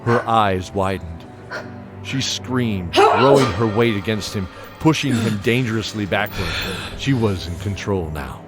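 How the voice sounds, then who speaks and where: Guy narrating fantasy audio drama, deepish voice, measured phrases, big music underneath. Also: animated.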